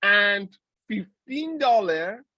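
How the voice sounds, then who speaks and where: A man in his forties delivers a university lecture at an unhurried, careful pace. He often stops mid-phrase and starts again, filling the gaps with hesitations. The sound is dry and close, with no room echo. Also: high-pitched, animated, sharp tone, highs cut off. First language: Persian. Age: 40s. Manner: halting, with many restarts and fillers. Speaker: guest lecturer.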